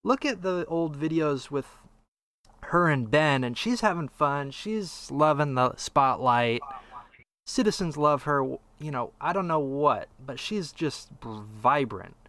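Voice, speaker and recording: A man's voice: on the high side, animated, measured pace, a bit bright, dry recording. A man co-hosting a casual podcast discussion, conversational, reading out passages and asking his co-host's view. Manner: conversational, reads quotes aloud, asks for opinions. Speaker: podcast co-host.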